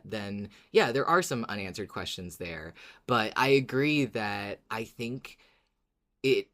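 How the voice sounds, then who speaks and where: A man's voice: on the low side, a bit bright. A man co-hosting a podcast, chatting casually about a fiction show in a playful, teasing manner. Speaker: podcast co-host.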